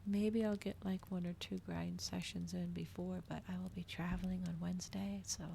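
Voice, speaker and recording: Female streamer for relaxed ASMR chat; fairly low, gravelly voice, hushed and whisper-prone, slight noise behind. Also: normal speed. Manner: hushed, often dropping into whispers. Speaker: livestreamer hosting an ASMR reading stream. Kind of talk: casual livestream chat, soft ASMR.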